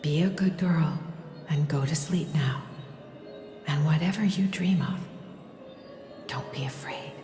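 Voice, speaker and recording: A woman playing a gentle, loving mother in a dramatic game cutscene, speaking tenderly in a slow, hushed, breathy voice. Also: low-pitched. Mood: tender, soothing. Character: gentle, loving mother and holy maiden soothing her child. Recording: echoey long reverb under clear music.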